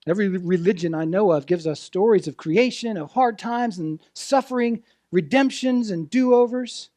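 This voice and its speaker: A man delivering a sermon as a preacher, earnest and deliberate, putting rhetorical questions to his listeners with dramatic phrasing. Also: high-pitched, warm tone, no echo, clean studio sound. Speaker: preacher, ministerial intern. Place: church service, speaking to a congregation.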